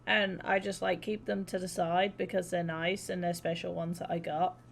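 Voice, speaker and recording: A woman's voice: fairly low pitch, level tone, normal speed, gravelly, slight background noise. A woman livestreaming a game, chatting casually in an informal, rambling, sweary way. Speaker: live streamer.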